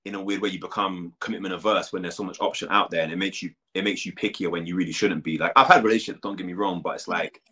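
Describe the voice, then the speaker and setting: A man's voice: low voice, steady tone, fast, sharp tone. A man in his thirties talking casually as an interview guest, full of filler words. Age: 30s. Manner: chatty, lots of "like" fillers.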